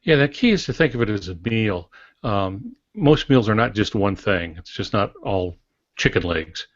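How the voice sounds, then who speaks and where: Man, low pitch, measured instructive co-host, conversational, roomy and slightly muffled. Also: sing-song. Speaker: podcast co-host. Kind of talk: conversational teaching on fiction writing.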